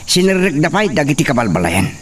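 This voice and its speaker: A man's voice: a little high, big pitch swings, fast, slightly rough, recorded from a distance. A man playing an angry, threatening young rich master in an Ilocano audio drama. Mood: stern, suspicious. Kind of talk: Ilocano radio-style audio drama acting.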